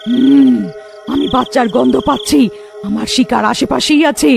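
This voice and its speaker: A woman voicing a menacing cartoon witch who hunts babies, gloating with drawn-out hums. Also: high-pitched, big pitch swings, creaky, over music, heavily acted. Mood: gloating, hungry. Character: menacing female witch hunting newborn babies. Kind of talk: cartoon villain acting.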